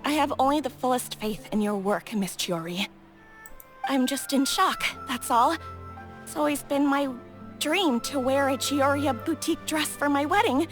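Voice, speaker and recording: Brief: bright, eager bride-to-be, a woman doing the voice, higher-pitched, faint game music. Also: normal speed, close to an everyday voice. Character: young bride-to-be, excited and grateful. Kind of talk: game dialogue.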